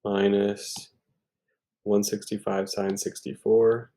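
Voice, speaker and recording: Low, gravelly male math tutor. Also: flat delivery, slow, warm tone. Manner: informal, thinking aloud with hesitations and self-corrections. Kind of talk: step-by-step problem walkthrough.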